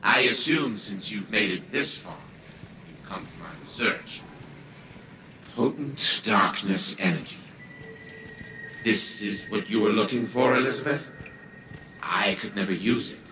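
Man acting as pompous old AI patriarch, low-voiced. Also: measured pace, long pauses, background noise, close to an everyday voice. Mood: grandiose. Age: older. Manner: pompous, condescending, theatrical. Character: proud, cold old patriarch turned giant AI machine. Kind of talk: dramatic video game acting.

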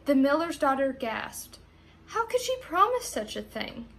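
A woman's voice: high voice, big pitch swings, a little slow, a bit bright. A young adult American woman, a teacher reading and talking expressively to her pupils. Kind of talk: expressive storybook narration.

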